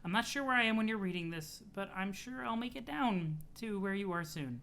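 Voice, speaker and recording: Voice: mid-range voice, animated, fairly quick, gravelly, sharp tone, voice pushed up. A streamer voicing an earnest, cheerful young girl who is chatty and sweet as she reads out a letter. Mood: eager, affectionate.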